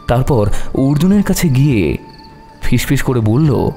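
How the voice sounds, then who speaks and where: A man narrating a horror story dramatically, with suspense and long pauses. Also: medium pitch, very animated, warm tone. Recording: long hall reverb over music.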